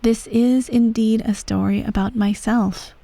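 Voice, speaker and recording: A woman playing an imperious, self-righteous empress who dotes on her child, speaking softly and formally in a bedtime ASMR roleplay. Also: a little high, fairly even intonation, creaky, no echo. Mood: fond, composed. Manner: soft, measured, formal, gently condescending. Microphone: close, dry microphone.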